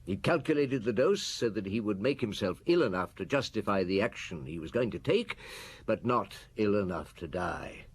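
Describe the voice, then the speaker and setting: Low, animated, shrewd weary detective. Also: male voice, husky. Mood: grave, explaining. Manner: measured, probing, dry. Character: shrewd, weary male police detective, methodical and blunt. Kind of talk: radio drama acting.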